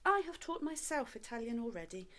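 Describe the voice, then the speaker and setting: A woman's voice: a little high, big pitch swings, a little fast, a bit bright. An actress playing a bookish, self-assured young Georgian lady in a radio play, articulate, earnest and precise. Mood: earnest, curious. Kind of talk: radio play period acting.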